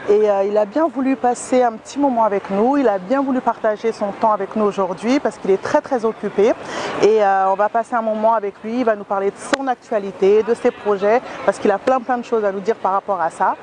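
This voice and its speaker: A woman's voice: medium pitch, a little fast. A woman hosting a casual web interview outdoors in a city, friendly and full of thanks. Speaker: web show host. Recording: outdoor street noise. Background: city traffic.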